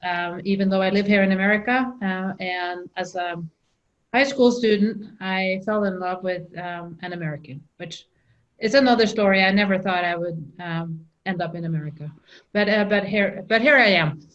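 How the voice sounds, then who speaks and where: A woman's voice: medium pitch, moderate pace, a bit bright, dull audio. A middle-aged woman from Norway with a Norwegian accent, telling her story as an interview guest, with frequent ums and restarts. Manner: hesitant, many ums and restarts.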